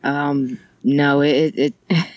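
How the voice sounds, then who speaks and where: A woman's voice: deep voice, fairly even intonation, takes it slowly, no echo, dull audio. A woman chatting casually on a podcast, rambling and joking, laughing often. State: ill. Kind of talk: casual chat.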